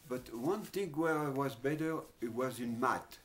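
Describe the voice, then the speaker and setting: Hesitant older man with a French accent. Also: medium pitch. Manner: halting, with frequent pauses and fillers. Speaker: oral history interviewee. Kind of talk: reminiscing in an interview.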